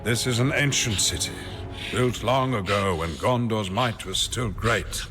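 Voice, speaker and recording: A man as a deep, grave old wizard over music. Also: natural voice. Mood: solemn. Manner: portentous, deliberate, formal. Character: grave, commanding old male wizard guiding warriors in war. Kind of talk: solemn scripted game narration. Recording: voice under clear background music.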